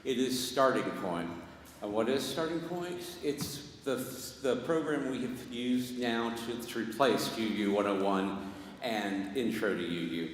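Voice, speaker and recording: A man, a church lay leader, making a halting announcement with frequent restarts. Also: mid-range voice, a little hiss or hum. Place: large church sanctuary. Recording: echoey hall with long reverb.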